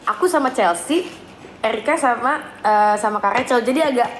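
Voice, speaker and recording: Young woman, casual storyteller, brightish pitch, room with a faint hiss behind her. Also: normal speed. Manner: chatty, giggly storyteller. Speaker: live stream co-host. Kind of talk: casual chat while eating. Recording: echoey room with faint noise. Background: clinking cutlery and dishes.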